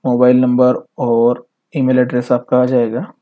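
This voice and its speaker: A man's voice: mid-range voice, completely flat delivery, measured pace, phone-quality sound. A man with an Indian accent presenting an informal step-by-step tutorial in an explanatory, instructional way. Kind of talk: informal step-by-step screen tutorial, mixing in English phrases.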